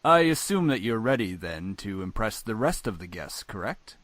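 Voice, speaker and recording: A man's voice: on the low side, very animated, normal speed, fairly bright tone, no echo. A man playing a cold, stern middle-aged father in a visual novel, speaking formally and with clipped authority. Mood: cool, stern.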